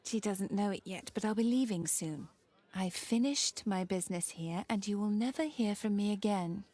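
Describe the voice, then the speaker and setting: A woman playing a self-possessed, enigmatic young woman in a video game drama, speaking in a measured, eloquent way. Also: medium pitch, mellow, close to an everyday voice. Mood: composed, wistful.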